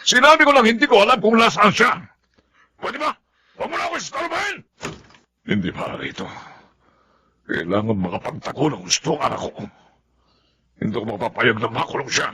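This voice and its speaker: An irritable, evasive father in a radio drama. His voice is sharp and high, swinging widely as he blusters curtly and defensively. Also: animated, fairly quick, pauses often. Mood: scheming, resolute. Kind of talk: Tagalog radio drama acting.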